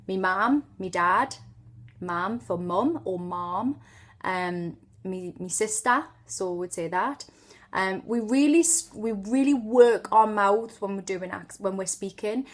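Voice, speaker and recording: A woman from Newcastle with a Geordie accent, chatting through an unscripted accent tutorial and drawing out sample words to demonstrate them. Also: mid-range voice. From Newcastle upon Tyne, northeast England. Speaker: accent tutor and video host.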